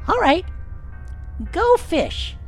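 Boy scout, very high voice, wildly swooping intonation, earnest, cheeky, exclamatory, retro sci-fi radio play, light music behind. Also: big pitch swings, very slow, exaggerated voice. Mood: chirpy. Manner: gee-whiz earnest, exclamatory. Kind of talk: retro radio adventure acting.

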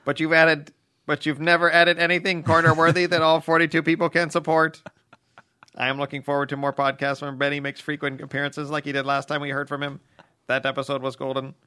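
A man's voice: a little high, little pitch movement, a little fast, sharp tone, clean tone, no echo, studio quality. A man co-hosting a podcast in casual conversation. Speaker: podcast co-host. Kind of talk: casual podcast chat, reading listener mail.

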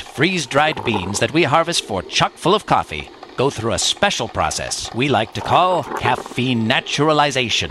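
An actor playing a hearty, earnest old-time radio announcer in a parody sponsor advertisement. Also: male voice, medium pitch.

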